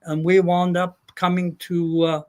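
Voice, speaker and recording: An older man from Germany telling his life story, halting with many fillers. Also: sits high, monotone. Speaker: guest. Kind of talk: casual storytelling.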